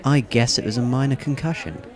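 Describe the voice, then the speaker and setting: A calm schoolboy played by a man speaks in a measured, reassuring way for an audio drama. The pitch is average, and a crowd murmurs faintly behind. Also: lightly acted.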